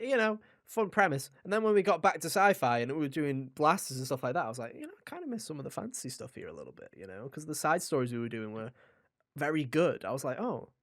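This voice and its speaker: A man chats as co-host of a game review podcast, in a mid-pitched voice. He is casual and enthusiastic, rambling along in an animated way. The sound is dry, with hardly any room on it. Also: no room sound.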